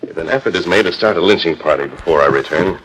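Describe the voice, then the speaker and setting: A man playing a resolute, fair-minded western hero in a 1940s radio drama, with measured, authoritative, formal diction. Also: low-pitched, a bit bright. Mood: resolute, serious. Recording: noisy 1941 radio transcription disc.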